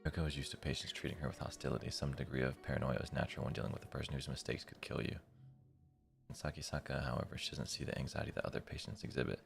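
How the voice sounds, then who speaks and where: A man's voice: rumbling low, little pitch movement, racing, vocal fry. A man live streaming, reading a visual novel's narration aloud and breaking off to comment casually. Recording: music behind the voice. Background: guitar music from the game.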